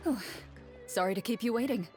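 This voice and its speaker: A woman playing a composed, courteous, earnest young champion duelist in a video game, speaking calmly and formally. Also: on the high side, loud music behind the voice, natural voice. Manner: calm, formal, measured.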